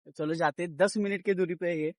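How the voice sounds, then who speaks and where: A man's voice: high voice, fairly even intonation, fast, no echo, clean studio sound. A young man chatting casually and teasingly to his vlog audience, switching languages. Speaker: vlogger. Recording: clearly noisy outdoor handheld recording.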